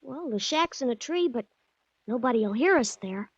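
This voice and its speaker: A woman's voice: a little high, lively intonation, moderate pace, slightly rough, dry recording. A woman playing an earnest, secretive small-town American boy with hesitant kid slang in a 1940s radio drama. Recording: dull old 1940s radio recording.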